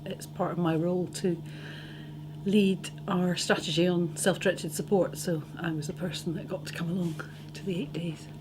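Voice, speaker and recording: A woman's voice: on the low side, steady tone, moderate pace. A woman with a Scottish accent who leads strategy at a charity, answering in a composed, matter-of-fact way. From Edinburgh, Scotland. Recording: noisy recording with hum.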